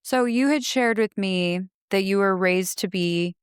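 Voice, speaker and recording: A woman hosting a podcast, speaking in a gentle, admiring, effusive manner. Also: medium pitch, measured pace, clear, resonant tone, no echo, clean studio sound. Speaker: podcast host. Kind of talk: warm interview chat.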